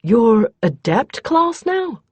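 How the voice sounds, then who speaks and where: A woman voicing a gruff, practical male mercenary in an audiobook, with a blunt, skeptical manner. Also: a little high, sing-song, slow, darkish tone, no echo, voice pushed up, exaggerated voice. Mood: surprised, doubtful. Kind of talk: audiobook character dialogue.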